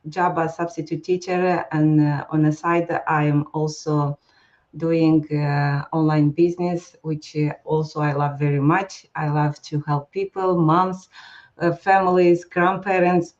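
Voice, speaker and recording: Low, flat-voiced Moldovan woman, halting. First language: Romanian. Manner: halting, with many hesitations. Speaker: guest. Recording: slightly muffled stream audio.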